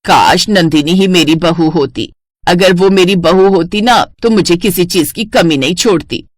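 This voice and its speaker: A woman's voice: fairly low pitch, measured pace, no echo, clean studio sound. A woman voicing a complaining older mother-in-law in a cartoon family story. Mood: scolding. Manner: complaining, nagging. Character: scolding older mother-in-law, grudging and quick to praise others.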